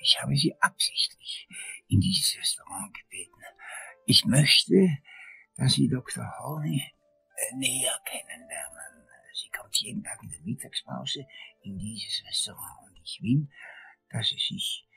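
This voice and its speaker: Casting: conspiratorial lawyer for radio crime drama, slow, creaky whisper, insinuating. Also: male voice, mid-range voice, lively intonation, pushed and bright, soft background music, full character voice, rough recording. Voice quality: breathy, whispery. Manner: halting, deliberate, insinuating. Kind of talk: radio play acting.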